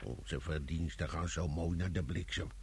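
Gravelly, low cynical workman. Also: fairly even intonation, moderate pace, a little hiss or hum. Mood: cynical, resigned. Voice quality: deep, gravelly. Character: cynical, coarse workman, resigned and dismissive. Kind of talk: radio play acting.

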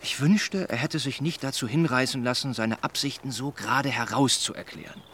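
An actor playing an earnest, well-meaning young gentleman in a German radio drama, speaking in a measured, formal, persuading way. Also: medium pitch, a little hiss or hum, close to an everyday voice. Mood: earnestly persuasive.

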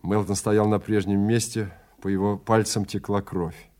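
A man's voice: fairly low pitch, fairly even intonation, normal speed, darkish tone. A man narrating a scripted story in a dramatic style.

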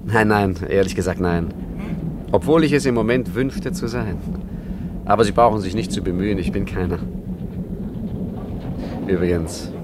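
An actor playing a wry, roguish art forger and painter in a German radio play, with a leisurely, ironic, musing way of talking. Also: male voice, fairly low pitch, moderate pace, pauses often, background noise, natural voice. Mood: flirtatious musing.

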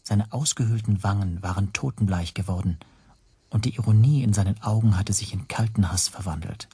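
An actor narrating a radio drama in the first person as an anxious language teacher, hushed and tense. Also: male voice, on the low side, a little fast, slightly rough, no echo. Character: anxious male language teacher recounting his spy troubles.

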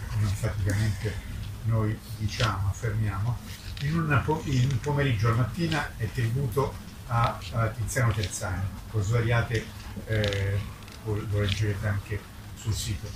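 A man giving an informal public presentation, emphatic and polemical, wandering through hesitations and digressions. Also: on the low side. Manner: halting, digressive delivery with drawn-out hesitations. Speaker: book publisher presenting a literary festival. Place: live public book presentation, recorded from a distance. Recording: distant mic, echoey, clearly noisy ambience.

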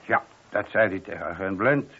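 An actor playing a chatty, deferential but opinionated middle-aged police sergeant in a radio play. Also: male voice, low-pitched, lively intonation, normal speed, husky. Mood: chatty, reporting. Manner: talkative, colloquial, deferential. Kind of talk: radio drama acting. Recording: dull, lo-fi old radio recording.

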